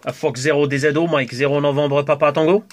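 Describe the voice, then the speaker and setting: A man's voice: fairly high pitch, fairly even intonation, brisk, slight background noise. Hesitant man chatting on amateur radio. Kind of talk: casual radio contact chat with call signs. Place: indoors at a radio desk.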